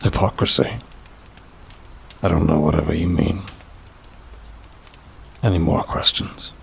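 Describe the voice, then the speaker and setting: A man playing a weary, sardonic demon in an ASMR roleplay, with a dry, haughty delivery and drawn-out sighs. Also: low-pitched, creaky, slight background noise, exaggerated voice. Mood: disdainful. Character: weary, sardonic male demon, aloof bureaucrat of damnation.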